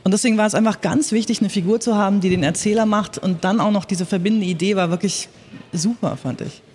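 A woman's voice: medium pitch, fast talker, a little mellow, breathy edge. A woman explains casually on a live panel in an emphatic, informal manner. Long hall reverb trails her voice. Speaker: panel guest. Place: live stage at a book fair.

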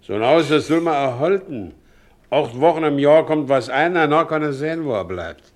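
An actor playing a stubborn, ageing carter in a radio drama, talking bluntly in a homely Silesian dialect. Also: male voice, fairly high pitch, lively intonation, moderate pace, natural voice. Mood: firm, gruff. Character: stubborn, plain-spoken ageing carter, head of his household. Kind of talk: stage-style German radio drama acting.

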